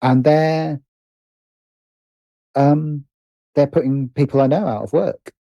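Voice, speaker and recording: Male interview guest, very soft dark tone, thoughtful stop-start conversational delivery, close dry sound. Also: on the high side, steady tone, takes it slowly, gravelly, dry recording, dull audio. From United Kingdom. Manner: hesitant, repeats and rephrases phrases as he thinks. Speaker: guest, writer and producer.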